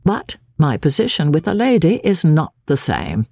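A woman voicing a courteous, insistent gentleman baronet in formal, persuasive speech for a dramatic audiobook. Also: deep voice, wildly swooping pitch, normal speed, vocal fry, dry recording, studio quality, exaggerated voice. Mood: courteous, solemn. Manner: formal, elaborately polite, persuasive.

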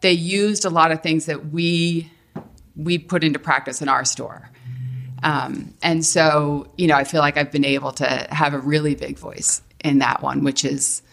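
A woman's voice: sits low, level tone, a little slow, vocal fry, a bit bright. A middle-aged woman, a franchise owner, talking casually as a podcast guest with lots of fillers and restarts. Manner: hesitant, many fillers and restarts. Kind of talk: casual interview talk.

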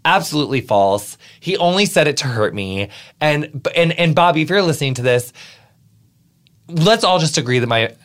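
A man's voice: expressive, moderate pace, a bit bright. An American man hosting a podcast, chatting in a bubbly, rambling way with lots of fillers and restarts. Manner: bubbly, rambling, swings high in pitch. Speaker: podcast host. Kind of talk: casual podcast chat.

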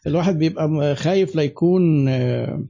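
A man with an Egyptian Arabic accent hosting a casual live interview, quick and joking, often talking over his guest. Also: a little high, vocal fry, dull audio, a crowd in the background. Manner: rapid, jokey, talks over the guest. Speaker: host.

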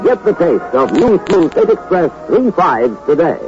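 A man delivering a polished, emphatic sales pitch as a 1960s radio commercial announcer. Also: very animated, measured pace. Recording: dull old radio recording with music.

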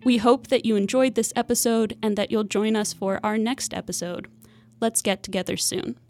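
A woman's voice: mid-range voice, steady tone, normal speed, darkish tone. Young woman hosting an academic podcast. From United States. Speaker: podcast host and producer. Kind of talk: casual chat and scripted outro.

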